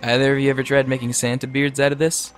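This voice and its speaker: Young male actor for audio drama: naive, cheeky wisecracker, medium pitch, casual and earnest. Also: little pitch movement, fast talker, slight background noise, lightly acted. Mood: goofy, earnest. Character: Timmy, cocky naive wisecracking young man.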